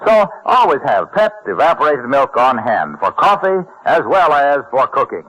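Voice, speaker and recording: A man's voice: mid-range voice, very animated, normal speed, a bit bright, poor audio. A man working as a radio announcer, reading scripted introductions and advertising copy in a polished, salesmanlike old-time style.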